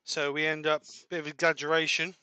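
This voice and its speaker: A man's voice: a little high, flat delivery, a little fast, pushed and bright, clear, resonant tone, no echo. A man giving a live woodturning demonstration in his workshop, explaining each step as he works and sometimes trailing off mid-sentence. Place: home workshop.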